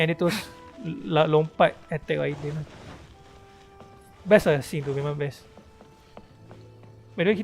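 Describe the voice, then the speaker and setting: Malaysian male streamer, high voice, casual code-switching commentary over soft game music. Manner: chatty, code-switching, slangy. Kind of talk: casual live gameplay commentary.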